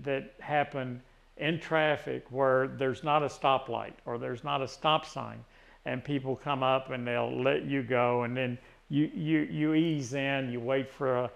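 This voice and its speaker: A man's voice: medium pitch, creaky. A man in his sixties with a Tennessee Southern accent, chatting casually and rambling with many restarts. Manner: rambling, frequent restarts and fillers. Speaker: retiree vlogger. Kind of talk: casual chat.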